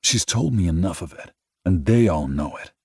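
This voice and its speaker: A man's voice: low-pitched, animated, normal speed, gravelly, a little mellow, husky, no room sound, clean studio sound, full character voice. A man voicing a frank, direct adult man speaking plainly to a rival about a woman they both care for.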